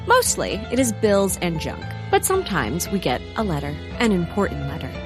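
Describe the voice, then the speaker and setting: A woman's voice: lowish voice, huge pitch swings, moderate pace, music in the background. A woman playing a wry storyteller, narrating a comedic historical mystery for audio drama. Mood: wry, brisk. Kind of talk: scripted narration.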